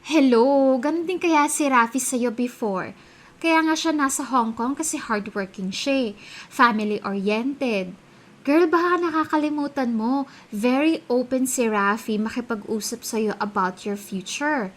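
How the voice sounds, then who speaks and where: A woman's voice: on the high side, measured pace, natural voice. A woman playing an opinionated, gossipy young friend with a Filipino accent, speaking emphatically in a radio drama. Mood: insistent. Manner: emphatic, conversational.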